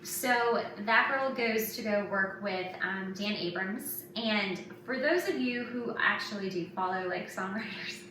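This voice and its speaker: A woman's voice: medium pitch, measured pace, hardly any pauses, bright, forceful tone. Woman podcasting in a very echoey room. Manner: informal, filler-heavy, conversational. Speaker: podcast host and author. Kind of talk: casual podcast chat. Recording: very echoey, distant mic, clearly noisy.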